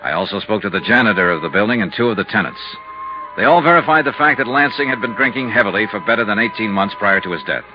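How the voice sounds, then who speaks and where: An actor playing a hard-boiled, weary insurance investigator in a 1950s radio detective drama. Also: male voice, lowish voice, animated, fast talker, over music, close to an everyday voice. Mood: matter-of-fact narration. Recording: muffled 1950s radio recording.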